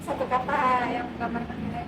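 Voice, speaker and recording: A woman's voice: fairly high pitch, flat delivery, fast talker, very noisy. A woman acting as interviewer, giving brief prompts and questions.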